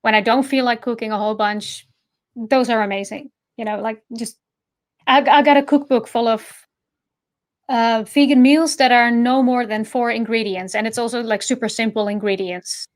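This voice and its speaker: A woman with a fairly high voice chats casually as a co-host. She comes across as friendly and reassuring, talking in an informal way. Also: steady tone, normal speed. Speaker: co-host, vegan activist. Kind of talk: casual chat. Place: online voice chat.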